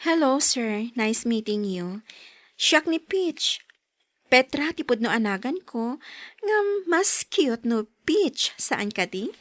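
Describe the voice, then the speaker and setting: Cutesy perky caregiver girl, super high voice, slow sweet talking, radio drama. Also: big pitch swings, a bit bright, dry recording, heavily acted. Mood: sweet, coy. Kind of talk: Filipino radio drama acting.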